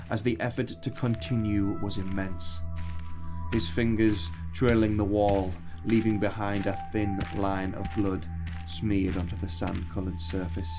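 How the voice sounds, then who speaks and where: A man narrating a scripted dark fantasy audio drama in a grave, measured storytelling manner. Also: lowish voice. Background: music.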